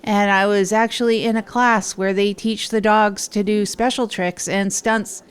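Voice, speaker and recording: A woman's voice: medium pitch, little pitch movement, a little slow, smooth, close to an everyday voice. A woman playing a hapless amateur comedian, telling stories in a rambling, earnest, self-deprecating way for an audio drama. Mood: eager, nervous performing. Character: Tog, hapless adult storyteller and failed stand-up comedian. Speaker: narrator and radio host. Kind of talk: improvised comic monologue in an audio drama.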